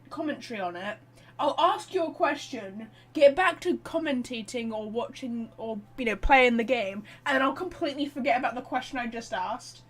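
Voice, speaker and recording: A woman streaming a video game with casual, chatty, self-deprecating live commentary. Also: high-pitched.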